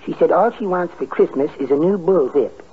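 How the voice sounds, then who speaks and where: An actor playing a meek, timid, henpecked husband, hesitant and overly polite, in 1950s radio comedy style. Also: male voice, a little high, brisk, natural voice. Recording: tinny, noisy old radio recording.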